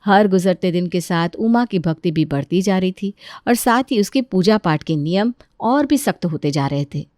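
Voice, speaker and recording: A woman's voice: on the low side, animated, normal speed, a little mellow. A woman narrator tells a scripted family story. The recording is close and dry, with no room echo.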